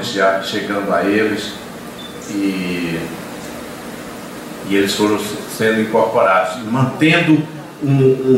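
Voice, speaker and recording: A man's voice: lowish voice, fairly bright tone, distant mic, slight background noise. An older man, a university professor, giving a discursive lecture with frequent hesitations and restarts. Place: lecture room. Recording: echoey classroom recorded from afar.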